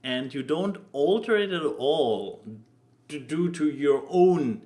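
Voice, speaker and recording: Halting man in casual podcast talk. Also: fairly high pitch, animated, warm tone. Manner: hesitant, halting, stammers and repeats words. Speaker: podcast host.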